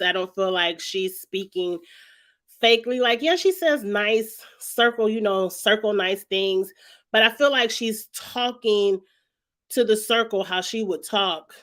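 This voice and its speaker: Woman, vlog host, casual TV commentary, mid-pitched voice, slightly raspy, congested from a cold, chatty, emphatic. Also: fairly bright tone. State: lingering cold with sinus congestion, clearing throat often. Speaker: YouTube show host reviewing reality TV.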